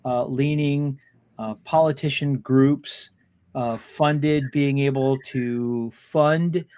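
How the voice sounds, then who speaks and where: A man's voice: medium pitch, fairly even intonation, slow pace, a little mellow, smooth, no room sound. A man hosting a casual political chat, explaining history at length in a rambling way. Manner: rambling explainer, many fillers and restarts.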